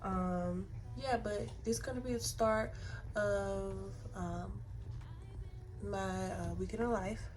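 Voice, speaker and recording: A young adult woman, a nursing student, chatting casually to her viewers with a stuffy nose from a sinus infection, often pausing on ums and restarting. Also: mid-range voice, fairly even intonation, darkish tone, slight background noise. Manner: unhurried, with frequent ums and restarts. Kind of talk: casual vlog talk to camera.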